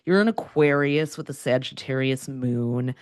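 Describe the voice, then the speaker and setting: A woman's voice: a bass voice, normal speed, a little mellow, no echo, clean studio sound. A woman hosting an interview, talking about astrology in an enthusiastic, chatty way. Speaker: host, astrologer. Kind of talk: conversational interview.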